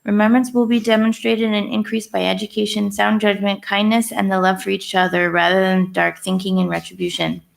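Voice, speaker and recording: Woman with a very gravelly voice, measured and formal, reading public comment at a podium. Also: medium pitch, flat delivery. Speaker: resident giving public comment. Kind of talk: reading a prepared statement. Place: town hall meeting room.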